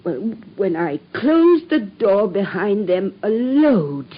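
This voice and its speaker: An actress playing an anxious middle-aged widowed landlady in a radio drama, with a husky voice, pleading and close to tears. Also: medium pitch, very animated, slow pace, dull audio, full character voice. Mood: distressed. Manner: distressed, pleading, breaking into tears.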